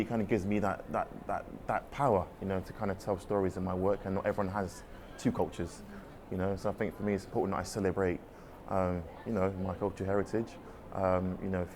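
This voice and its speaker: Fast-talking deep-voiced man. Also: noisy background. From London, England. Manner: rambling, hedging with "sort of" and "kind of". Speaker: artist. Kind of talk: casual interview answers. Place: art exhibition space.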